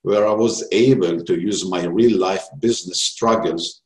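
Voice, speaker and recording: A man's voice: low-pitched, measured pace. An older man from Israel with an Israeli accent, a company founder and CEO presenting himself to investors in a frank, self-assured way. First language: Hebrew. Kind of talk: recorded investor pitch. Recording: echoey room.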